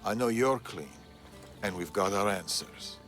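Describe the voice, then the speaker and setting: An actor playing a guarded, cryptic veteran assassin in a video game drama. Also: male voice, lowish voice, unhurried, a bit bright, soft background music. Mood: terse.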